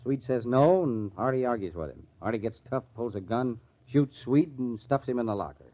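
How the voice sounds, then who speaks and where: An actor playing a probing, skeptical murder investigator in an old-time radio crime drama. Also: male voice, medium pitch, expressive, moderate pace, lightly acted. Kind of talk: 1940s radio acting. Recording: tinny, narrow-band old radio recording.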